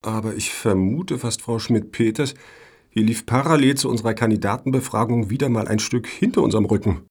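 A man's voice: on the low side, a little fast, a little mellow, slightly rough. A man acting in a radio satire as a pedantic, suspicious foundation juror, officious and wordy. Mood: suspicious, indignant.

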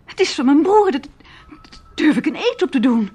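A woman's voice: high voice, big pitch swings, normal speed, dark tone. A woman playing a proud, wary young upper-class lady in a radio drama, speaking formally and easily turning indignant. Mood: agitated, urgent. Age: young adult.

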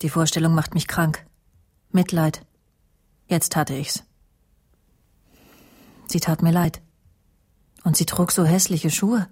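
Eine Schauspielerin mit rauchiger Stimme spielt in einem Krimi-Hörspiel eine stolze, scharfzüngige Fernsehmoderatorin, die trocken und sardonisch ihre Geschichte erzählt. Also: female voice, low voice, steady tone, slow, long pauses, darkish tone, breathy edge, full character voice. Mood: cool, disdainful. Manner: dry, sardonic, self-assured. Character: proud, sharp-tongued woman TV presenter narrating her story. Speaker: actress, lead and narrator in radio play. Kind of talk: German radio crime drama, intimate first-person narration and dialogue.

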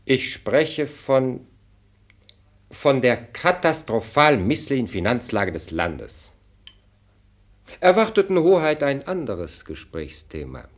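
A sardonic minister of state in a radio drama. His pitch is mid-range but moves in very lively swings, and he lectures in formal, pointed phrases with dry irony. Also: slow pace. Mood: grave, pointed. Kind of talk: German radio play acting.

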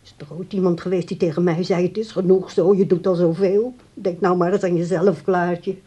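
Radio drama: insistent grown daughter, mellow, husky voice, nagging martyr who coaxes haltingly. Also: female voice, on the low side, fairly even intonation. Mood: reproachful. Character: nagging, self-sacrificing grown daughter managing her old father. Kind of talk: naturalistic radio drama acting.

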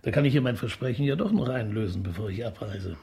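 A fussy grumbling detective, gravelly voice, kinda stammery and wordy, radio comedy. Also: male voice, mid-range voice, lively intonation, fast, a little mellow, slight background noise. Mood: courteous, sly. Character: bumbling, cultured, grumbling older male police detective. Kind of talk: radio crime comedy acting.

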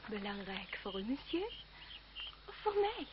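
A woman's voice: mid-range voice, big pitch swings, measured pace. An actress playing a proud, spirited young noblewoman in a Dutch radio play, with a haughty, theatrical manner. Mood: coolly formal. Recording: muffled, noisy old radio play recording.

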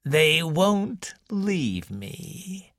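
A wheezing, domineering old woman drags out every word slowly and coldly, as a male narrator puts her on. Her voice has a strained, airy texture and swings widely in pitch. It sounds close and dry, with no room around it. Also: big pitch swings, a bit bright, no echo, full character voice. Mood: cold, controlling. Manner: slow, cold, controlling. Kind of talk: audiobook character reading.

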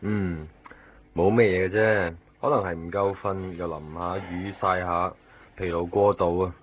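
A man playing a calm, matter-of-fact hospital doctor in a Hong Kong Cantonese radio drama from the 1990s. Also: deep voice, a little slow, a little hiss or hum. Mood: calm, brisk. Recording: muffled, phone-like 1990s radio recording.

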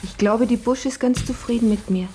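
An actress playing a dutiful, put-upon housemaid in a German radio play, speaking plaintively and earnestly in old-fashioned formal phrases. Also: female voice, on the high side, brisk, warm tone, slight background noise, lightly acted.

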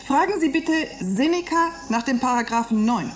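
An actress playing a cheeky, mocking captive in a space dome, with sarcastic self-assurance, in a radio play. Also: female voice, sits high, lively intonation, moderate pace, a little hiss or hum. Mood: cool, mocking. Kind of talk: German radio play acting.

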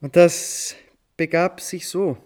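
An actor playing a playful, impulsive composer who tells stories in an animated, ornate, old-fashioned way for a German radio play. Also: male voice, fairly high pitch, slow pace. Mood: lively, wry. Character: playful, impulsive male composer recounting his nighttime inspiration.

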